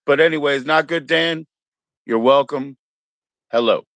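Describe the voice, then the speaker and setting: A man's voice: fairly high pitch, measured pace, a bit bright, no room sound. An American man chatting casually as a livestream host, in a laid-back, slangy, encouraging way. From Maryland, United States.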